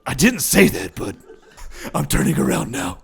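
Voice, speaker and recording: A man's voice: on the high side, wildly swooping pitch, normal speed, over loud music, exaggerated voice. A man voicing a crude, irritable Batman in an improvised comedy sketch, blunt and sarcastic, swearing freely. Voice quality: gravelly, husky.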